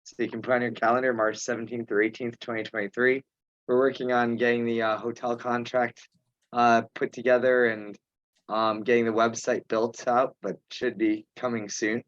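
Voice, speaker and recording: A man chairing an informal online meeting, speaking haltingly with pauses mid-sentence. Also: mid-range voice, level tone, fairly bright tone, no room sound. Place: remote teleconference. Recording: dull, muffled conference call audio.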